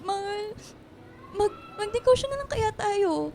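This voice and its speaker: A woman's voice: very high voice, animated, a little slow, darkish tone, slight background noise, exaggerated voice. A woman playing a loving, reluctant wife in an emotional, pleading radio drama performance. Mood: worried, pleading. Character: tearful loving wife reluctant to leave her family.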